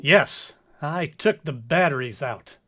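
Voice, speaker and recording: A male actor as a declamatory space hero from a radio comedy. His voice sits fairly high and moves around in lively fashion, always earnest and heroic. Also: slow, exaggerated voice. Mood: proud, earnest. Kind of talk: comic old-time radio acting.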